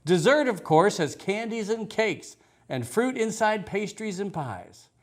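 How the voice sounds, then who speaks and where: A man with a fairly high voice reads a children's story in rhyme. His delivery is expressive and rhythmic, with very animated pitch that rises and falls as a storyteller's does.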